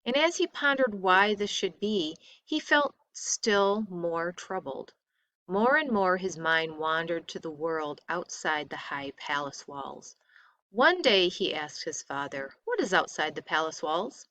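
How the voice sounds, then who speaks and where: A woman teacher reading a textbook story aloud expressively, with deliberate dramatic emphasis and phrases that drop away softly at the end. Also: on the low side, lively intonation.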